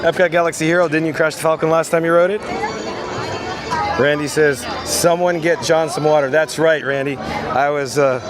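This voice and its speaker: A hoarse man hosting a live walking vlog outdoors, coughing now and then and reading viewer comments aloud. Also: fairly high pitch, steady tone, moderate pace. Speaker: vlogger host. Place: outdoors at a busy theme park. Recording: loud crowd and outdoor noise. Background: crowd chatter.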